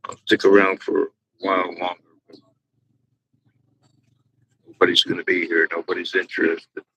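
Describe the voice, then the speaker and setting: A man with a deep, raspy voice hosting a live chat room, chatting casually to himself while he waits for people on a small external mic. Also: flat delivery, moderate pace, fairly bright tone, a little hiss or hum, poor audio. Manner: rambling, talks to himself while waiting.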